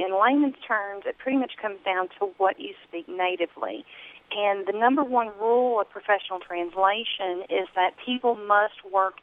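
A woman from West Kentucky with a Southern American accent, a guest on a radio talk show who explains her trade in a folksy, confident way. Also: mid-range voice. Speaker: translation company owner, guest. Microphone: telephone. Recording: tinny phone line.

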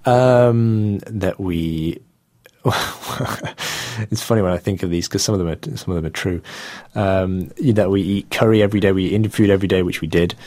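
A man in his thirties with a Manchester accent talks through his thoughts in a low voice, pausing and restarting often. The sound is dry and close, with no room around it. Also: dark tone, dry recording. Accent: Manchester English. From England. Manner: hesitant, with many fillers and restarts, laughing often. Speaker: guest artist. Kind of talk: casual interview chat.

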